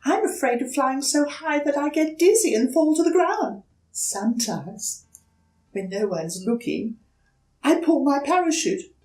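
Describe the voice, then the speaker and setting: A small nervous bird from a storybook voiced by a woman. It chirps confidingly in a high, lilting voice, a bit distant from the mic. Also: lively intonation, a little slow, a little mellow. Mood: chirpy, nervous. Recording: roomy echo, distant mic.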